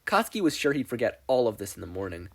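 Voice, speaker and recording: Guy reading fanfic out loud, kinda husky, super animated and wry storytelling. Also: mid-range voice, quick pace. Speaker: podfic reader. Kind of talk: expressive scripted fan fiction narration.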